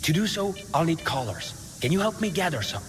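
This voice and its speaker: An actor playing an eager, self-assured scientist in a video game, speaking in an articulate, insistent way. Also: male voice, a little high, big pitch swings, brisk, a bit bright, faint music behind. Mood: confident, intent.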